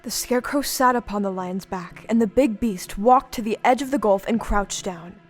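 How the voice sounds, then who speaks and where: A woman's voice: medium pitch, moderate pace. A woman narrator telling a children's story dramatically as part of an audio play. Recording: music behind the voice. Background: music.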